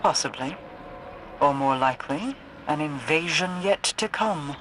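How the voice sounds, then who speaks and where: An erudite game strategist with a fairly high male voice. He sounds grave and formal, over clear background noise. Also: animated, measured pace. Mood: grave, thoughtful. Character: grave, scholarly male strategist explaining an enemy's war plans. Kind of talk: scripted video game dialogue.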